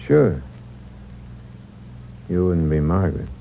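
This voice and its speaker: A deep-voiced noir killer. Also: male voice, sing-song, takes it slowly, gravelly, exaggerated voice. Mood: wary. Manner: tough slangy talk, clipped and menacing. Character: hard-boiled male con man and killer, cold. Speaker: narrator and lead character. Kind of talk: 1950s radio drama, first-person noir narration. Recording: dull 1950s radio broadcast with hum.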